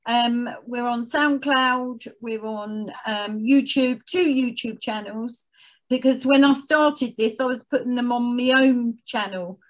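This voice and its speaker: Woman guest on a podcast, highish voice, talks real slow and halting, casual chat. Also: rough recording. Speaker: guest, head of a veterans' arts organisation.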